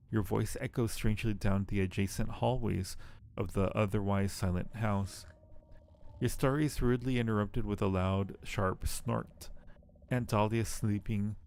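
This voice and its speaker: A man streaming a game, reading the narration aloud and commenting casually in a low-key way as he thinks aloud. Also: a little mellow. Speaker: live streamer playing a game.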